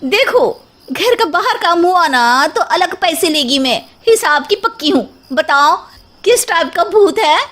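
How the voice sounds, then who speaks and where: A woman's voice: very high-pitched, expressive, a little slow, fairly bright tone, full character voice. A woman voicing a bold, street-smart housemaid in a comic story, cocky and slangy in Mumbai street Hindi. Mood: brash, confident. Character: brash, bold, money-minded female housemaid, street-smart ghost chaser.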